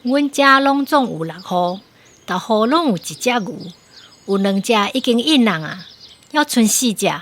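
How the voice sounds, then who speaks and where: A Taiwanese village woman selling livestock in a radio play. Her voice is fairly high and her manner matter-of-fact. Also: lively intonation, moderate pace, fairly bright tone. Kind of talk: radio drama acting.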